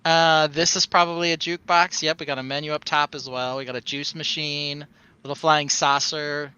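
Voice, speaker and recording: A man's voice: a little high, level tone, moderate pace, bright, forceful tone, clean tone, lo-fi sound. A man co-hosting a casual livestream, giving short upbeat comments while describing a toy set on camera. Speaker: podcast co-host.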